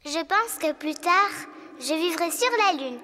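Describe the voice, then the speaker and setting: Very high-pitched cheerful little piglet. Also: female voice, animated, unhurried. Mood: cheerful, eager. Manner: simple, eager, childlike phrasing. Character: cheerful little girl piglet and her young animal friends. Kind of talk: children's cartoon dub. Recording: music behind the voice.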